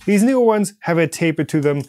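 A man's voice: on the high side, lively intonation, a little fast, a little mellow, slight background noise. A man in his thirties presenting a hands-on maker tutorial in a casual, explanatory way. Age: 30s. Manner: informal explanatory, hands-on demonstrating. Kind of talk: casual instructional product walkthrough. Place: indoor home studio workshop. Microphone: lapel mic.

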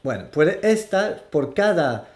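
A man, hosting a casual board game explainer in a halting way, searching for words. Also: a little high, big pitch swings, a little mellow. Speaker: host of a board game show. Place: small indoor room with game shelves.

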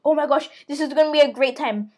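A teenage girl hosting a gaming video, acting out roleplay with excitable, repeated exclamations. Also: high-pitched, quick pace. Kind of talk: dramatic roleplay commentary.

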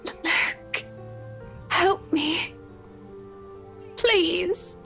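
A woman's voice: very high-pitched, drawn-out words, gravelly, sharp tone, faint music behind, exaggerated voice. An actress playing a frightened, fragile young woman pleading in a visual novel game. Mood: terrified pleading.

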